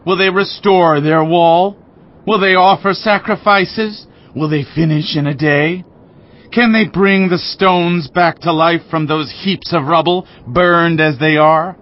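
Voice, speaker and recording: Guy doing a sneering bible-times enemy governor, highish voice, drags out his taunts, audiobook drama. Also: steady tone, muffled recording, lightly acted. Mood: scornful mockery. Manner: slow, taunting rhetorical questions. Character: mocking, scornful enemy governor of biblical times.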